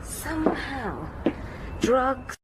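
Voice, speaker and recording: An actress playing a shrewd, dry detective sidekick in a radio drama, theorising in a measured, naturalistic way. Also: female voice, high-pitched, sing-song, heavy background noise, heavily acted. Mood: speculative.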